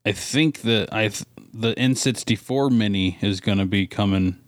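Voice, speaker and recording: A man's voice: on the low side, normal speed, no room sound. A man co-hosting a podcast, chatting casually in a laid-back way with short, simple remarks. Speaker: podcast co-host. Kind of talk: casual chat.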